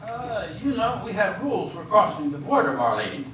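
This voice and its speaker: A man's voice: sits high, sing-song, normal speed, fairly bright tone. An amateur actor, a man, playing a stubborn, rule-bound border official in a stage comedy reading, prim and indignant. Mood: officious. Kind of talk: amateur readers theater comedy acting. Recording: very echoey hall from far off, faint hiss.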